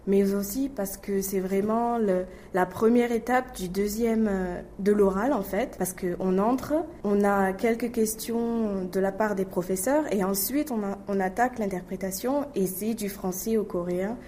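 A woman's voice: medium pitch, unhurried, hardly any pauses, mellow, a little hiss or hum. A woman, an interpreting student, giving candid and personal interview answers. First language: not Korean.

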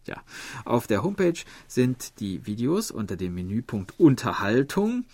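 A man's voice: on the low side, no room sound. A man presenting a radio program from a studio, reading announcements and listener mail. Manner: lively, reads listener mail aloud.

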